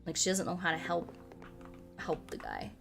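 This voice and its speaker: A woman streaming a game, chatting casually in a rambling, halting way with self-corrections and playful, cutesy phrasing. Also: fairly low pitch, huge pitch swings, gravelly. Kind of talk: casual chat while playing a game. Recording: music behind the voice. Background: music.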